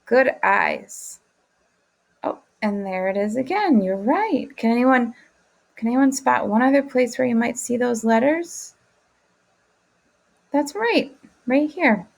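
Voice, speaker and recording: A woman's voice: fairly high pitch, expressive, slow pace, gravelly, pauses often. A woman teacher leading an interactive picture-book lesson for children, encouraging them and prompting with questions. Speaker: teacher reading aloud to children.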